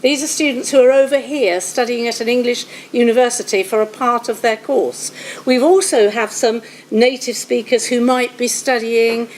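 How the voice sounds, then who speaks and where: A woman who coordinates language outreach, giving an explanatory presentation to an audience with occasional "um" pauses. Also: a little high, fairly even intonation, slight background noise.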